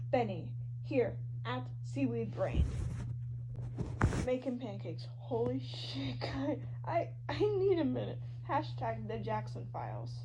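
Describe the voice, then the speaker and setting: A woman giving an animated, dramatic, slangy reading of online fan fiction, shifting between characters. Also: a little high. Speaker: podfic reader. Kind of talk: expressive fan fiction reading of social media posts. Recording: dull lo-fi audio with loud hum. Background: loud hum and noise.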